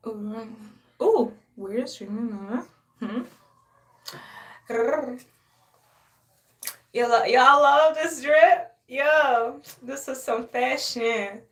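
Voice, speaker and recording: A young woman from Haiti hosts her own live stream and chats in a relaxed, unscripted way. Her voice sits a little higher than most women's. She sounds sassy and boastful, playfully hyping herself up and breaking into laughs often. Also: extremely slow, long pauses. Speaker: livestreamer. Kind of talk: casual live-stream chat.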